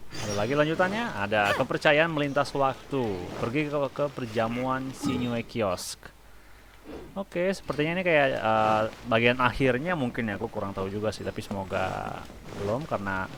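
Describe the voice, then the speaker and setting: A man's voice: medium pitch, animated, a little fast, fairly bright tone, a little hiss or hum. A man with an Indonesian accent giving casual, slangy, joking let's-play commentary as a gaming YouTuber. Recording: game music behind. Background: game music.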